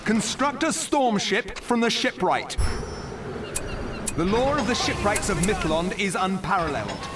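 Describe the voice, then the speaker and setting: An actor playing a noble, resolute elven naval commander in a video game, speaking in a formal, declamatory way. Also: male voice, high-pitched, lively intonation, measured pace, far from the mic. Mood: commanding, instructive. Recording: loud music over the voice. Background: loud game music.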